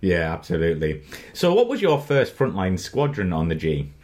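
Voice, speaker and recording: A man's voice: low-pitched, wildly swooping pitch, moderate pace, darkish tone. A man hosting a casual interview, asking questions in informal, somewhat hesitant phrasing. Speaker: interviewer, host.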